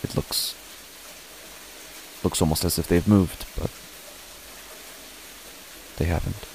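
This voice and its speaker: A low, gravelly male actor as a father tells his own horror story in an audio drama. He is uneasy and brooding, with halting phrases. Noticeable ambient noise sits behind his voice. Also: fairly even intonation, a little mellow, heavily acted, poor audio. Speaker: narrator and lead character of a horror audio drama. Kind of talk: first-person horror audio drama narration.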